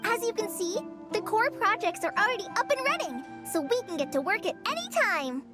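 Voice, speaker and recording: An earnest teenage ranger girl in a video game, speaks very high and with lots of melodic movement. She is sweet, courteous and modest. Music runs underneath. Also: big pitch swings, brisk. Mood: upbeat. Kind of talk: scripted video game dialogue.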